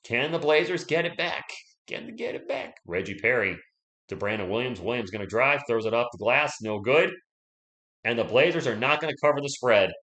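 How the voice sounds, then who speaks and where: A man's voice: mid-range voice, big pitch swings, fairly quick, fairly bright tone, roomy sound. A man hosting a live fan play-by-play stream, chatty and loose, reading viewer comments and rooting for his team while losing his voice.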